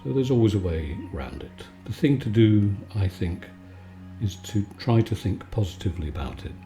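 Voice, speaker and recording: Low, mellow man chatting calmly. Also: normal speed, faint music behind. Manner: calm, soothing, conversational. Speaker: video maker and host. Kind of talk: relaxed ASMR bedtime chat.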